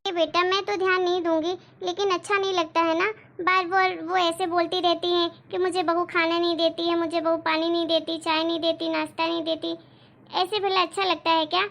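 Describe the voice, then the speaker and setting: A woman's voice: very high voice, level tone, brisk, creaky, a bit bright, heavily acted. A woman voicing an exasperated daughter-in-law who argues with her forgetful mother-in-law. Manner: exasperated, arguing, explaining at length. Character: exasperated young daughter-in-law, put-upon.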